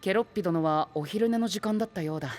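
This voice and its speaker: A man playing a dignified, courteous princely youth in an audio drama, speaking formally with stiff gentlemanly phrasing. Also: high-pitched, fast, natural voice. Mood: formal, observant. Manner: stiff gentlemanly speech sprinkled with English words. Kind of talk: anime-style audio drama acting.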